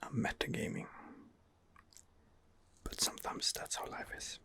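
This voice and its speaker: A man softly narrating a video game in ASMR style, musing hesitantly as he thinks aloud. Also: a little slow. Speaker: gamer narrating a role-playing game playthrough. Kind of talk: whispered ASMR game commentary.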